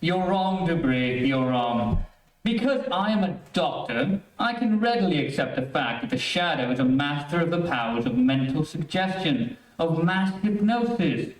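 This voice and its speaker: A man's voice: on the high side, animated, normal speed, natural voice. A man playing an earnest, reasoning doctor in an old-time radio mystery, explaining things carefully. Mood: insistent. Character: earnest, reasoning male doctor, a former escaped convict. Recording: roomy, distant amateur stream audio.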